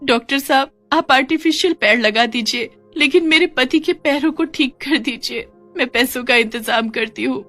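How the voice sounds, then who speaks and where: A woman's voice: sits high, normal speed, hardly any pauses, a bit bright, soft background music, voice pushed up. A woman voicing a worried, devoted poor mother in a cartoon moral story. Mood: pleading.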